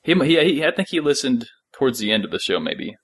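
A man's voice: medium pitch, sing-song, quick pace, a bit bright, breathy edge. A man co-hosting a podcast in casual chat, talking in an informal, rambling, jokey way. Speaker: podcast co-host. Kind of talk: casual chat about an audio drama.

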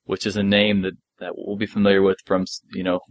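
A man's voice: low voice, completely flat delivery, brisk, no room sound. A man co-hosting a podcast in a casual discussion, explaining things in detail and thinking aloud. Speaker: podcast co-host.

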